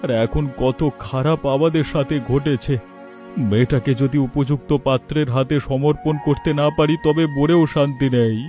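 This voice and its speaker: Anxious widowed cartoon father played by a man, soft mellow tone, lamenting delivery, faint music underneath. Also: fairly high pitch, fairly even intonation, moderate pace, natural voice. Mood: worried, weary. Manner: plaintive, lamenting. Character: anxious, self-pitying widowed father of grown daughter. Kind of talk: melodramatic cartoon dialogue. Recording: music behind the voice.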